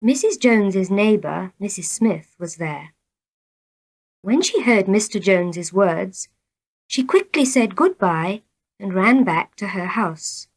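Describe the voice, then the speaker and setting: A woman reading short tales as scripted narration, in a measured, deliberate manner. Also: medium pitch, animated. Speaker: storybook reader.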